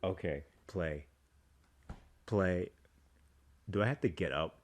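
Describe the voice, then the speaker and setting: A man's voice: low-pitched, slow pace. A man hosting a reaction video in informal, rambling, playfully exasperated chat to the camera.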